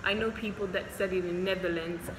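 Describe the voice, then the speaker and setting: A young woman doctor from Zimbabwe, a Shona speaker, talks through viewer questions in a relaxed, candid way with plenty of ums. Her voice sits low, and she records in an echoey hospital room with steady noise behind her. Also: a little fast. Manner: chatty and candid, frequent ums. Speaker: doctor and vlogger. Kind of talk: casual Q&A vlog. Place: hospital, indoors.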